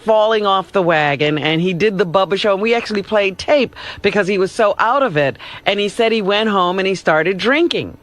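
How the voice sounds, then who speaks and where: A woman's voice: mid-range voice, fairly quick, few pauses. A woman co-hosting a radio show, chatting casually in studio banter.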